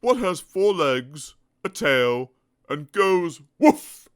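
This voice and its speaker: A man doing a booming, mocking storybook elephant. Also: big pitch swings, full character voice. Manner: slow, booming, drawn-out, mocking. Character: mocking clever male elephant, proud and loud.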